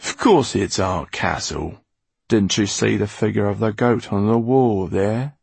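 Male henchman for a storybook, acted by a man reading aloud: gruff, sullen, gravel-throated growler. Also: on the low side, a little slow, a little mellow, dry recording, exaggerated voice. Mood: sullen, defiant.

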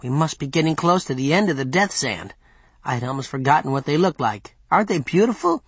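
A man's voice: fairly high pitch, fairly quick, husky, dry recording, exaggerated voice. A man voicing a small, eager, talkative servant who fawns on his masters in an audiobook story. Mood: excited. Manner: obsequious, talkative, excitable. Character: small, eager, chattering, fawning servant runt. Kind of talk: audiobook character voice.

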